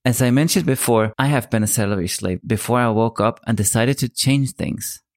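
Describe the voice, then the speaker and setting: A man in his forties with a Danish accent, hosting his own podcast and telling his life story in a calm, measured monologue. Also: mid-range voice. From Denmark. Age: 40s. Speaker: podcast host. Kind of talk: scripted solo podcast monologue.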